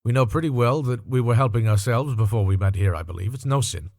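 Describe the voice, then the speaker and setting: A man's voice: mid-range voice, quick pace, vocal fry, a little mellow, dry recording, studio quality, lightly acted. A man voicing a bold, callous working-class woman thief in a storybook, with a brash, scornful, colloquial manner.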